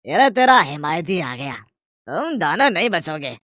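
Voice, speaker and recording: A woman's voice: sits low, sing-song, normal speed, fairly bright tone, no echo, studio quality. Woman acting a drunken bullying father. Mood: threatening. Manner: bullying and wheedling. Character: drunken, violent, wheedling father, a grown man. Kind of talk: Hindi animated moral story voice acting.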